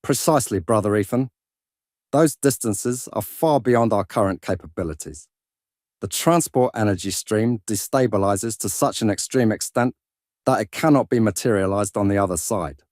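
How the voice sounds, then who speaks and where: A man playing a measured religious brother who explains a mystery in a precise, formal way in a sci-fi audio drama. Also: on the low side, darkish tone, dry recording, studio quality, close to an everyday voice. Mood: explaining.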